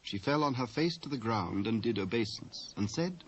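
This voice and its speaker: A man's voice: medium pitch, expressive, normal speed, a little hiss or hum. A British man giving a scripted dramatic narration of the Bible in formal archaic English. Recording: dull old cassette transfer.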